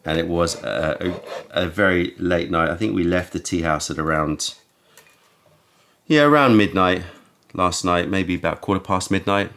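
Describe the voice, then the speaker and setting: A man hosting a casual tea tasting, his voice croaky from having just woken up. He talks slowly and musingly, often stopping to search for the right word. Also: deep voice, a bit bright. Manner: slow, musing, searching for words. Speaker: tea shop host.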